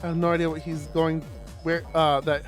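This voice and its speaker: A man streaming a live read-aloud of a visual novel, expressive, with stumbles and casual asides. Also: a little high, brisk, faint music behind. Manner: expressive, stumbles over words while reading.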